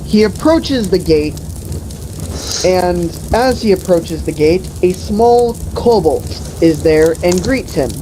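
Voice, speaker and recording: A woman telling an improvised comic fantasy story among friends in a deliberate, profane, mock-epic manner. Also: fairly low pitch, animated, background noise. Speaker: storyteller and host.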